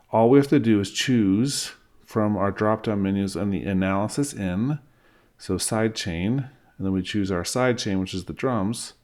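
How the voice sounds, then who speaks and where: Low-pitched middle-aged man explaining software. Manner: explanatory, step-by-step. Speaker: music software instructor. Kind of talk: informal screen-recorded tutorial.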